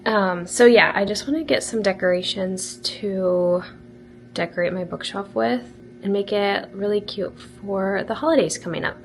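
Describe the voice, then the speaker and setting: Young American woman vlogging, slightly raspy. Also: medium pitch, normal speed, slight background noise. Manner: informal, frequent "like" and "really". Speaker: lifestyle vlogger. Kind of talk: casual chatty vlog to camera.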